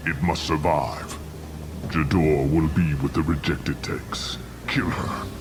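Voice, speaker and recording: A man's voice: a bass voice, steady tone, slow pace, noisy background. A man playing a grave, obsessed old alien warlord scientist in a video game, speaking in solemn, terse phrases. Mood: grim resolve. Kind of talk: video game character acting.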